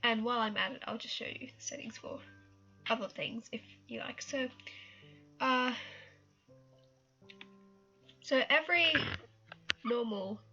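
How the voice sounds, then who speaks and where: A woman's voice: fairly high pitch, bright, forceful tone, dull audio. A young woman with a cold, rambling casually through an art tutorial and apologizing often. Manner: rambling, self-conscious, laughs and apologizes often. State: has a cold. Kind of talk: casual screen-recorded tutorial.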